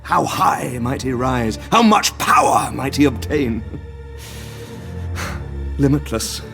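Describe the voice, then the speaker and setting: A man acting as an educated gentleman villain in an audio drama, with a mid-pitched voice. He speaks formally and precisely, his politeness edged with menace, cunning and hungry for power. Faint music sits behind him. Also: animated, normal speed, natural voice. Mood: grandiose.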